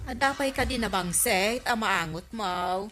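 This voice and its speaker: A woman's voice: medium pitch, unhurried, loud music behind the voice, lightly acted. A woman playing a chatty, nosy village neighbour in an Ilocano comedy radio drama, gossipy and exclamatory. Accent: Filipino, Ilocano.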